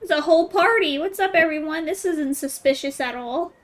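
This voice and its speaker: A woman's voice: sits high, fairly quick. A woman game streamer giving casual commentary in a wry, playful, slangy way.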